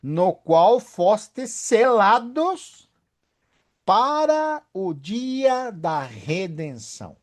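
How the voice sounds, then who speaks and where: A man's voice: sits high, sing-song, very slow. A man leading a Bible study, emphatic and often asking his listeners for agreement.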